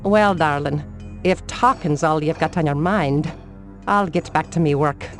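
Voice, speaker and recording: A woman's voice: low voice, a little slow, music in the background. A woman playing a bold, flirty female dwarf shield-maker in a cartoon adventure game, coy and suggestive. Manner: coy, suggestive, sugary pet names.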